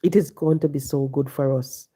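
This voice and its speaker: Hoarse low-voiced woman. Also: fairly even intonation, moderate pace, dark tone. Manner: preachy, repeats points. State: hoarse and congested from flu. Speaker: livestream host. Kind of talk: casual live-stream talk reading viewer comments. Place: home, sitting.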